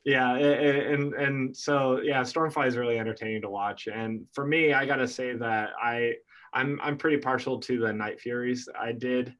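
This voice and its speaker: A man's voice: medium pitch, fairly even intonation, normal speed, fairly bright tone, muffled recording. A man hosting a casual interview, chatty and enthusiastic, often restarting his sentences. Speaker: interviewer host. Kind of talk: casual video-call interview.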